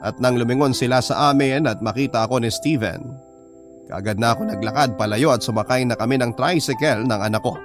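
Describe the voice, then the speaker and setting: A man's voice: medium pitch, brisk, music in the background, natural voice. A man with a Filipino accent narrating a scripted first-person story.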